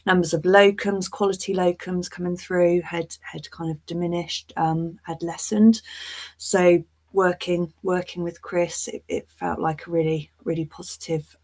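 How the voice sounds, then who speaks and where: A woman speaking as a principal social worker on a webinar panel, earnest and measured as she explains, over a video call. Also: fairly low pitch, darkish tone.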